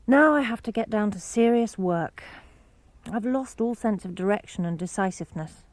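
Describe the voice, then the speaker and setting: A woman's voice: mid-range voice, lively intonation, normal speed, a little mellow, no echo. Composed woman reading for radio. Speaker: narrator and diary reader. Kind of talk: reading narration and diary entries for radio. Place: radio studio.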